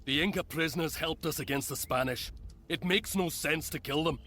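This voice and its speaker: An actor playing a wary, stern officer in a dramatic video game cutscene, with music behind. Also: male voice, on the high side, moderate pace, sharp tone.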